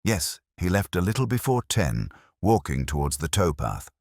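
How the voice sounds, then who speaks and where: A man narrating a literary detective story in a measured, reflective, melancholy manner. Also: sits low, sing-song, darkish tone, dry recording, studio quality.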